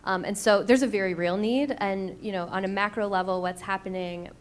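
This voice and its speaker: A woman's voice: medium pitch, steady tone, brisk. Confident female startup founder. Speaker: company co-founder and panelist. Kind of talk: panel discussion answers.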